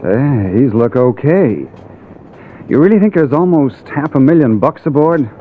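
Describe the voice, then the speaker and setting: Casual schemer, 1950s radio acting, sly, medium pitch, tinny noisy recording. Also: male voice, very animated, a little slow. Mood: eager, probing. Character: breezy, sly, money-hungry adult salvage diver partner.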